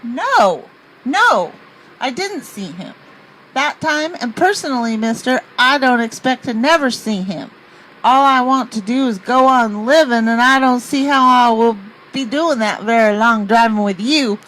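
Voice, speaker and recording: Woman acting a brash, high-voiced young hitchhiker gal. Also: measured pace, a bit bright, rough recording. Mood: fed up. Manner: slangy, blunt, sassy. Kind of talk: radio play acting.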